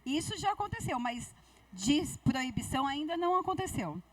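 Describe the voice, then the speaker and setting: High-voiced woman author, reflective and halting. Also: sing-song, dry recording, distant mic. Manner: reflective, hesitant, with many fillers. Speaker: author on a panel. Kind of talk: panel discussion at a book fair. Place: book fair stage.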